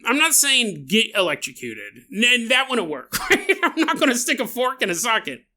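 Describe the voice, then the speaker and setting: A male podcast host tells an odd story with a playful, joking manner, laughing often. His voice is bright and sharp. His pitch swings up and down, so the telling sounds animated. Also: normal speed. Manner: jokey, laughs often, acts out side remarks. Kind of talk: comedic paranormal storytelling.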